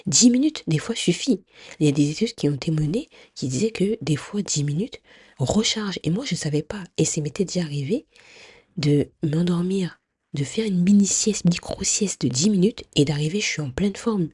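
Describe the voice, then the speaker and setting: A woman's voice: lowish voice, lively intonation, moderate pace, darkish tone, husky, dry recording, studio quality. A woman hosting a podcast, chatting directly to the listener with a stuffy nose, many fillers and restarts. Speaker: podcast host. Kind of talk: casual advice podcast monologue.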